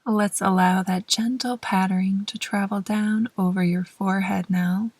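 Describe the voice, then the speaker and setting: A woman gently leading a guided meditation in a soft, breathy voice that often trails toward a whisper, with measured pauses between short phrases. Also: medium pitch, fairly even intonation, no room sound. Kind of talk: slow, soothing guided meditation narration.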